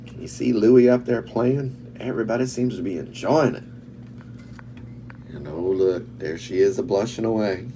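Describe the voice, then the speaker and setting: A man reading a children's picture book aloud in an expressive, dramatic way, with asides to young listeners. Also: lowish voice, normal speed. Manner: expressive, dramatic, exclaiming and dropping to hushed tones. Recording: distant mic with clear background noise.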